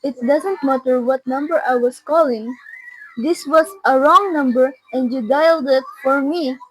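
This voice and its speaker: Woman acting a very high-voiced, agitated housewife. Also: slight background noise, low-quality recording. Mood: urgent pleading. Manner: agitated, halting, pleading. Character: horrified, pleading, nervous housewife on telephone. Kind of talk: radio drama acting.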